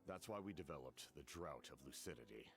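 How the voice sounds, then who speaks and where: A man voicing a stern, composed veteran captain in a video game, speaking in measured, formal sentences. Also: rumbling low, animated, creaky, exaggerated voice. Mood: grave, measured. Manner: measured, formal, clinical explanations. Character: grave, commanding veteran warrior captain, male, stern and composed. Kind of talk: video game character dialogue. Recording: game music behind the voice.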